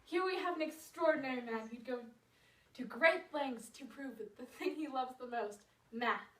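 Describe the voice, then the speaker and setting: A woman's voice: high-pitched, normal speed, fairly bright tone, far from the mic. A woman playing a field reporter who introduces a guest in an announcing way. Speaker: field reporter in a school skit. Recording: echoey room, off-mic.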